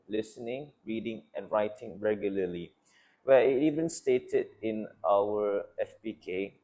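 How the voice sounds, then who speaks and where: A man's voice: fairly low pitch, a little mellow. A young man answers as a panelist in a student panel discussion, fluent and formal in a lecturing way.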